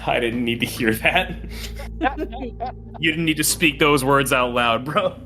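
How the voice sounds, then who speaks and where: A man's voice: mid-range voice, unhurried, bright, forceful tone. A man playing a tabletop game, bantering casually in a theatrical, teasing, slangy way. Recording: music underneath.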